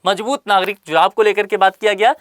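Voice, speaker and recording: A woman's voice: medium pitch, fairly even intonation, fast, no echo, clean studio sound. A woman explaining a survey report in a current affairs lesson, speaking to viewers with rhetorical questions and everyday examples.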